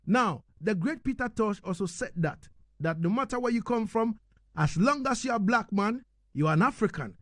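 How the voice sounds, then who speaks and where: A man hosts a live radio interview in a high-pitched voice. The sound is dry and close, as in a studio, as he addresses both guest and listeners. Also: lively intonation, normal speed, a bit bright, no room sound.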